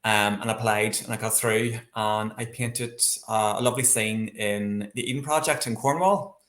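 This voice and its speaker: A man's voice: fairly low pitch, steady tone, normal speed, a bit bright. Chatty Northern Irish man in an echoey room. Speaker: interview guest, landscape painter. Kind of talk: casual interview, personal storytelling. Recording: echoey, roomy sound.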